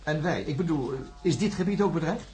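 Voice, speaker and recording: An actor playing an anxious, conscientious doctor in a science fiction radio drama, with a grave delivery that turns halting under strain. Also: male voice, a little high, a little mellow, soft background music, natural voice. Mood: worried. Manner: grave, halting when agitated. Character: anxious, conscientious male doctor narrating a disaster.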